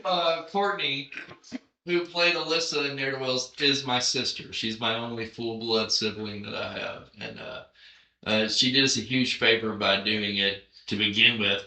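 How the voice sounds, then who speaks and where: A man hosting a podcast and interviewing a guest, telling stories in an animated way and jumping up in pitch as he acts out moments. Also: moderate pace, recorded from a distance. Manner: animated storyteller, jumps up in pitch when acting out moments. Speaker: podcast host and film director. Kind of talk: casual interview chat. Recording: echoey room, a bit off mic.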